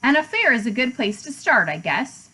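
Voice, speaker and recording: Woman as a practical, easygoing father, higher-pitched. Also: very animated, normal speed, bright, forceful tone, slightly rough, full character voice, rough recording.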